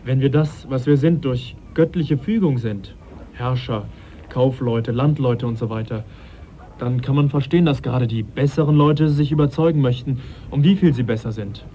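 An actor playing a thoughtful young gentleman naturalist in a German radio play, courteous and measured with a quiet irony. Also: medium pitch, lightly acted. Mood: measured, ironic. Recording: dull, noisy 1980s radio recording.

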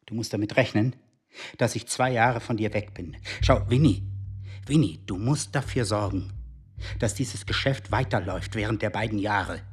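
A man's voice: lowish voice, moderate pace, slightly rough. An actor playing an agitated middle-aged secret agent in a German radio drama, blustering and pleading with his wife. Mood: scheming, urgent. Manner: blustering, pleading, swinging between coaxing and outrage. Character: agitated, self-pitying husband, a shady secret agent.

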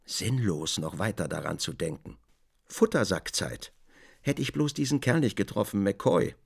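An actor playing a literary storyteller, narrating a radio drama in a creaky voice. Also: male voice, fairly low pitch, animated, moderate pace, exaggerated voice. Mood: measured storytelling. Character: literary storyteller, also voicing the protagonist's brief remarks.

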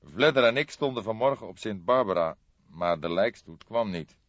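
A man voicing a calm, unruffled veteran police detective in an audiobook, asking measured questions. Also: lowish voice, dry recording, voice pushed up. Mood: serious. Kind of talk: audiobook character acting. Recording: slightly dull, dry studio recording.

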